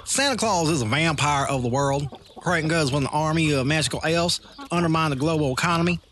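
A man's voice: a little high, brisk, fairly bright tone, a little hiss or hum, close to an everyday voice. An actor playing an aggrieved male international official ranting in a mock news soundbite. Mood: indignant. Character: indignant male international official denouncing Santa.

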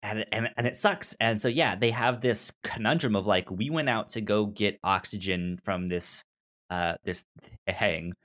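A man's voice: on the low side, measured pace, a bit bright. A young man co-hosting a casual movie discussion, stammering and often repeating sounds and words as he talks. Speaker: podcast co-host.

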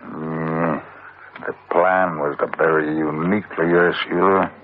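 Scheming period English thief, drawling and melodramatic, muffled vintage radio play with some noise. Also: male voice, extremely low pitch, little pitch movement. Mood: menacing, muttering. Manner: theatrical, drawn-out, swings from muttering to crying out. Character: sly, murderous English thief and father-in-law, 17th century. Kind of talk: 1950s radio drama acting. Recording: muffled, noisy 1950s radio recording.

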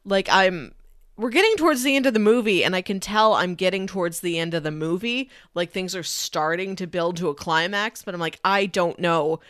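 A woman's voice: fairly low pitch, moderate pace, fairly bright tone, no echo, clean studio sound. A woman talking casually and analytically as a podcast co-host discussing a film.

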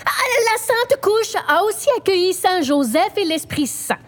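A woman's voice: very high voice, lively intonation, a little slow. An actress playing an earnest young woman reciting a devotional text. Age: young adult.

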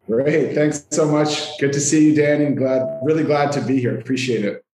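A man's voice: medium pitch, fairly even intonation, moderate pace. A Canadian-accented man giving conversational answers as a sales executive guest on a podcast. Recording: roomy, echoey sound.

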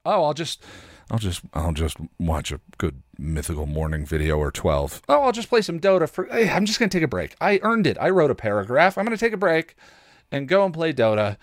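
A man's voice: mid-range voice, wildly swooping pitch, fairly quick, no room sound, studio quality. A man hosting a casual solo podcast in a rambling, self-deprecating way, often acting out his own inner dialogue. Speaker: podcast host.

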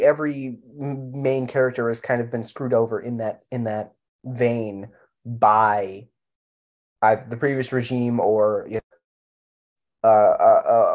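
A man with a mid-pitched voice co-hosts a casual film podcast. He speaks slowly and a little haltingly. He often repeats words to add emphasis. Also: a little mellow. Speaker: podcast co-host.

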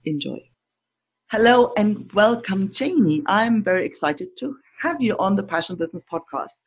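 Husky-voiced woman hosting a podcast. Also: medium pitch, lively intonation, moderate pace, highs cut off. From not Australian, has lived in Australia. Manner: upbeat, laughs often. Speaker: podcast host and business coach. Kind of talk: casual interview chat.

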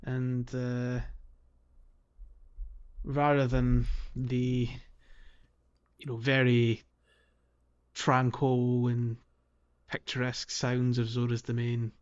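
Dull-sounding, low-pitched man chatting casually. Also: monotone, very slow, long pauses, smooth. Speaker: podcast co-host. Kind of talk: casual video game discussion.

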